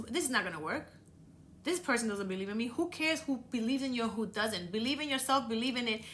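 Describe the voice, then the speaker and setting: A woman's voice: fairly high pitch, bright, forceful tone. A woman from the Dominican Republic with a Dominican accent, hosting a motivational podcast and coaching listeners earnestly, often repeating words for emphasis. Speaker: podcast host.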